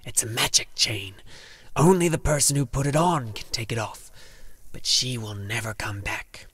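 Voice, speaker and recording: A man voicing a dying werewolf in a storybook, bitter, menacing and weary, with a breathy, whispery growl. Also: mid-range voice, animated, a bit bright, no echo. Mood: bleak. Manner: slow, drawn-out, scornful delivery.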